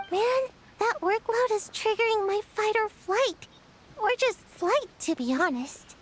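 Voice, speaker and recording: A woman voicing a chatty, excitable childlike companion in a video game, with a perky, casual delivery. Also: extremely high pitch, takes it slowly, heavily acted. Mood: excited, urging. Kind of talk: cartoonish video game voice acting. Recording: music playing behind the voice.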